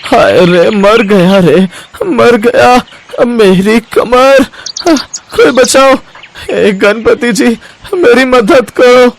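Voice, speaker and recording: A man voicing a humble, devout village milkman in an earnest, plaintive way for an animated moral story. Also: very high-pitched, extremely slow, vocal fry, a little hiss or hum, heavily acted. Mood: pained, pleading.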